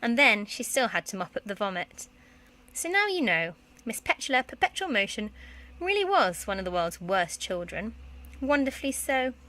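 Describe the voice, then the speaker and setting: Female teacher for children's storytelling, bright, clear-cut expression with highly animated intonation. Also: moderate pace. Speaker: primary school teacher reading aloud.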